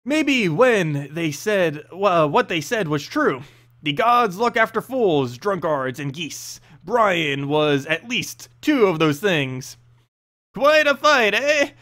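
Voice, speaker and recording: A man narrating a fantasy story in an expressive, dramatic storyteller's manner. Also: fairly high pitch, takes it slowly. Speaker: narrator reading game lore.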